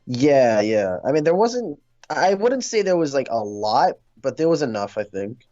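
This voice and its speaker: A man hosting a casual film discussion, speaking in a hesitant, halting way. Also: mid-range voice, very animated, warm tone, dry recording, lo-fi sound.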